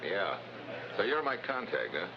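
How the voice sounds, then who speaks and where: A hard-boiled special agent with an American accent and a low voice. His delivery is clipped, dry and unimpressed, in old TV adventure style. The soundtrack is muffled and very noisy. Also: big pitch swings, a little slow, creaky, heavily acted. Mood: dry, impatient. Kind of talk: 1950s TV adventure acting with noir narration.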